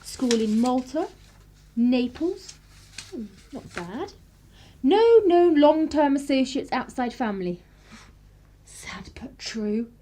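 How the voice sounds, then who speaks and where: A woman's voice: high voice, sing-song, slow, pauses often, warm tone, heavily acted. A young woman with an upper-class English accent playing a spirited, sardonic 1930s vicar's daughter in a radio play, with arch, clipped period phrasing. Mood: indignant, sardonic. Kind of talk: period radio play acting, narration and dialogue.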